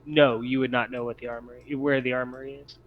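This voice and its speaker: A man acting as game master, explaining scenes and rules in a conversational, halting way. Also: mid-range voice, flat delivery. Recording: dull lo-fi mic with faint noise.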